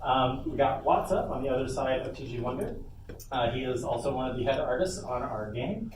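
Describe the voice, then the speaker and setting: A man's voice: mid-range voice, lively intonation, a little fast. A man giving an informal live workshop talk as a panel presenter, speaking off the cuff with asides. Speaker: panel presenter, lead game developer. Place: convention panel room, indoors. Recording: echoey room, distant mic, loud background noise.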